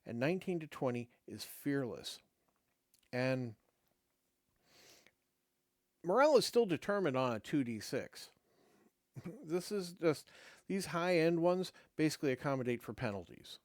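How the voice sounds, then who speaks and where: A man's voice: a little high, big pitch swings, dry recording. A middle-aged man hosting his own show, reading a game rulebook aloud with casual asides, his voice going dry and fading. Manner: halting, many fillers and restarts between read passages. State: losing his voice, dry throat.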